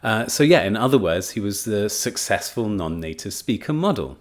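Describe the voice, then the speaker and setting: A man's voice: fairly low pitch, sing-song, normal speed. A man presenting a research study in a casual spoken lecture, chatty and enthusiastic, with frequent fillers and restarts. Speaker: teacher trainer presenting a study.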